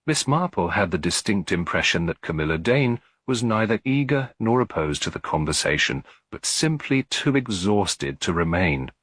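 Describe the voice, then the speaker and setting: A male narrator tells a story in a measured, literary way. His voice is low and a little husky, with an expressive rise and fall, recorded very dry. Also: no echo. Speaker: audiobook narrator.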